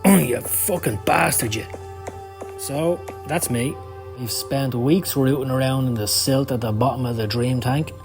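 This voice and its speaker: A man's voice: medium pitch, a little slow, lightly acted. A man voicing a down-at-heel male occult hustler in a motion comic, slangy, profane and sardonic. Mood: bitter, disgusted. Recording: music under the voice.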